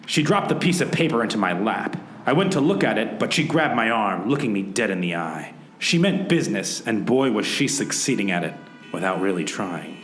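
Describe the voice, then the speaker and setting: An actor playing a brooding, wounded private eye in a hardboiled radio play, with melodramatic delivery and old-time detective slang. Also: male voice, on the low side, big pitch swings, moderate pace. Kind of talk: hardboiled noir radio play narration and dialogue. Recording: echoey room with loud background noise.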